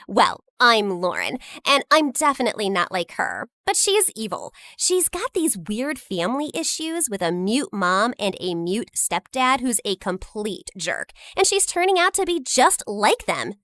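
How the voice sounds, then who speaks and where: A woman voicing a confident, catty, bossy popular schoolgirl in an animated story, sassy and dismissive. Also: on the high side, a little slow, few pauses, no echo, full character voice. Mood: gossipy.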